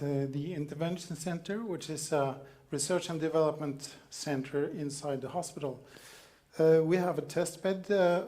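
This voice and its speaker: A man's voice: fairly high pitch, warm tone. A man from a hospital research center introduces himself on a panel, hesitating often. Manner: hesitant, frequent uh fillers.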